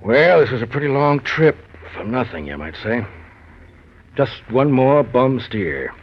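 An actor playing a world-weary police detective, dry and clipped, in 1940s radio noir style. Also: male voice, medium pitch, very animated, a little slow, slightly rough, highs cut off. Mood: dry, knowing. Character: world-weary, sardonic male Chicago police detective sergeant. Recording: band-limited 1940s radio with music.

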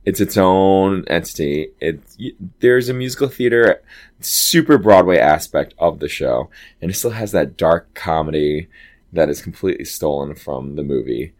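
A man with a low voice, an actor telling stories in a casual interview and acting out quoted lines. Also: moderate pace. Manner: animated, chatty, acts out quoted dialogue. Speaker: Broadway actor guest.

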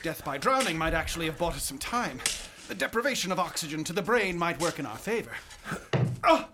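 A man's voice: a little high, sing-song, brisk, background noise. An actor playing a cold, arrogant young male medical student in a radio drama, clipped, clinical and condescending. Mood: driving. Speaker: reanimating scientist.

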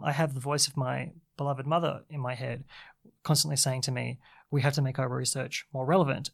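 A man's voice: medium pitch, creaky. A man who talks as an anthropologist in a casual academic discussion, thinking aloud with hesitant restarts. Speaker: podcast co-host, anthropologist.

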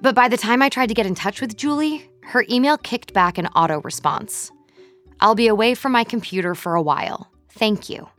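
An actress playing a measured, dryly wry documentary podcast narrator, reading scripted investigative narration in a composed, deliberate way. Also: female voice, a little high, expressive, fairly bright tone, faint music behind. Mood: sober.

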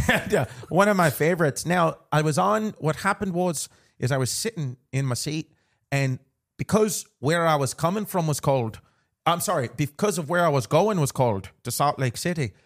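A man's voice: a little high, lively intonation, normal speed, fairly bright tone. A man putting on an Irish accent as a jokey, profane, rambling podcast host in comic banter. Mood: rambling storytelling. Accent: put-on Irish. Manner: rambling, giggly, swearing.

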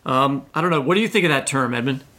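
A man co-hosting a podcast in a casual, animated, informal storytelling way. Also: medium pitch, quick pace. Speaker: podcast co-host. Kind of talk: casual literary podcast conversation.

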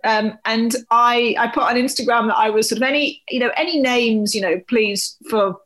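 A woman's voice: on the high side, fairly even intonation, normal speed, a bit bright. A British woman co-hosting a podcast in casual, chatty, informal conversation. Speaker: podcast co-host.

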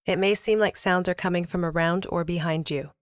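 A woman delivers a scripted advisory as an announcer. Her voice sits a bit low and is formal and measured, recorded dry. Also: fairly even intonation, dry recording.

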